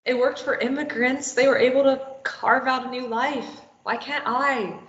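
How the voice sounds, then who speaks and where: A woman's voice: high voice, fairly even intonation, normal speed, fairly bright tone. A woman in a script table read, playing a snappy, sarcastic and desperate captive who argues back. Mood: defensive. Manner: snappy, argumentative. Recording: roomy, dull lo-fi sound.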